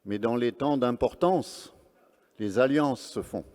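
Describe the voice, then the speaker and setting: A man's voice: medium pitch, takes it slowly, darkish tone. A man presenting and interpreting live at an event on stage, speaking earnestly phrase by phrase. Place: large event hall on stage. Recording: long reverb of a large hall.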